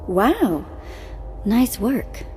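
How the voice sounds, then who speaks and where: A woman's voice: fairly high pitch, big pitch swings, very slow, darkish tone, a little hiss or hum, exaggerated voice. An actress playing a sassy, sarcastic young alien woman in a video game, laid-back and unimpressed. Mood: wry, sarcastic.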